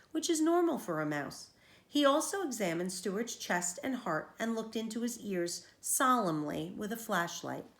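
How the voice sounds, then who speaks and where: A woman's voice: medium pitch, a bit bright, echoey room. A woman teacher reading a children's storybook aloud, explaining things to young listeners as she goes.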